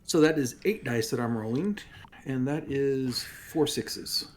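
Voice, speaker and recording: A man's voice: mid-range voice, unhurried, slight background noise. A man talking casually as a player in a tabletop roleplaying game.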